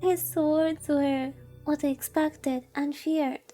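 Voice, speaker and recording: A woman's voice: sits high, flat delivery, slow pace, dark tone, faint music behind. A woman voicing a naive young visual-novel heroine who narrates her own story in an earnest, literary way. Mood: shaken, wondering.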